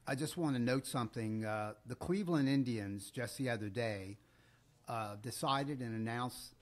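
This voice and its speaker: Middle-aged American male podcast co-host, medium pitch, deliberate conversational storyteller with pauses. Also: slight background noise.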